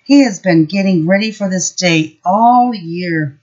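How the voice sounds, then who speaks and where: A middle-aged woman teacher explaining simple words and reading a story aloud to young children, instructive and expressive. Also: fairly low pitch, a little slow. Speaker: preschool teacher. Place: small room at home. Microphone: built-in webcam or laptop mic. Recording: dull, lo-fi webcam audio.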